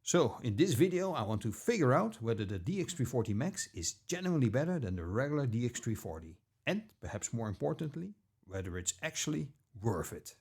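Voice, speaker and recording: A man narrating a scripted product review as an audio gear reviewer, with measured, deliberate phrasing. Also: medium pitch, big pitch swings, darkish tone, husky, soft background music.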